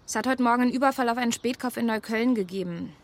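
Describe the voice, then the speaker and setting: An actress playing an awkwardly friendly police officer in a naturalistic radio drama, questioning gently and hesitantly. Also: female voice, a little high, racing, close to an everyday voice. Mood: polite, probing.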